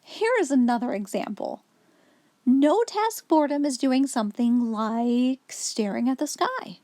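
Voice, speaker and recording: High, animated woman reading a children's story. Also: unhurried, no echo. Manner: clear, instructive storytelling for young children. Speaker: children's story reader, host. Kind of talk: expressive read-aloud of a children's picture book.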